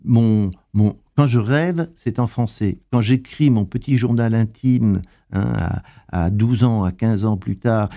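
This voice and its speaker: An older man talks through his past in an interview, stopping often to search for words. His voice is low and mellow, with a gravelly edge. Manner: hesitant, full of pauses and fillers. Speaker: interviewee, translator. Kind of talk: conversational interview answers.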